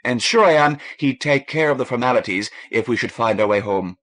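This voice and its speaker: A man voicing a warm, frank Irish woman, a ship's doctor, in a lilting, coaxing manner for an audiobook. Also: medium pitch, animated, fairly quick. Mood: encouraging, frank.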